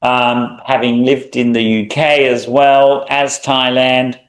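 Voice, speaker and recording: Casting: Australian male language teacher, slow, patient delivery, roomy echo. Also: medium pitch. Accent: Australian, mild. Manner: relaxed and explanatory, drawing out example sounds with small hesitations. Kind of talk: recorded language lesson. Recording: echoey room.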